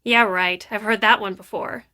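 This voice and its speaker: A woman playing a brash, defensive teenage girl who works as a high school hall monitor in a video game, with a sassy, dismissive and emphatic delivery. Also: steady tone, normal speed, sharp tone, clean studio sound, close to an everyday voice. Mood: stern, scolding. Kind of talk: acted video game dialogue.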